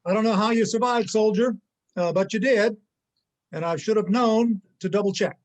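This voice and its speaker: A man acts out a menacing gunman with a high, loud voice. He is forceful and taunting, with some halting phrases, in stage-reading style. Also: close to an everyday voice. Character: menacing male armed thug, loud and taunting. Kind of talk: church reader's theater acting.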